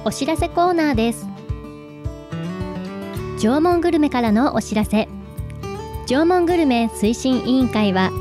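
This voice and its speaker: A woman working as a radio host, presenting announcements and chatting with her co-host. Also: fairly high pitch. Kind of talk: radio hosting, announcements and chat. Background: background music.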